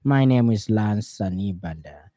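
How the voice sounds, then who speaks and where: A man's voice: lowish voice, expressive, measured pace, warm tone, dry recording. A man with a Zambian accent reading a scripted radio news bulletin in a studio.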